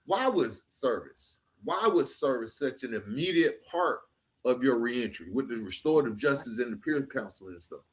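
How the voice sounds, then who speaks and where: A man's voice: mid-range voice, animated, normal speed. A man hosting a casual interview, speaking familiarly and reflectively and dropping in "bro.". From Atlanta, Georgia, United States. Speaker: podcast host.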